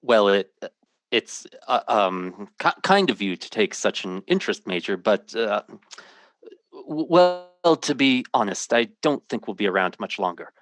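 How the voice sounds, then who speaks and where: Low-voiced, earnest, troubled hero. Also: male voice, very animated, a little slow, no room sound. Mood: guarded, earnest. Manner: earnest, intense. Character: earnest, troubled man telling a fantastic story. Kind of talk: old-time radio drama acting.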